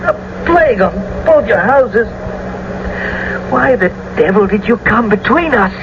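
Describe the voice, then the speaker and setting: Dying young nobleman, radio play: bitter, mocking, slow delivery, noisy old recording. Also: high voice, lively intonation, highs cut off. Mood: bitter, pained. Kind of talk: theatrical radio drama acting.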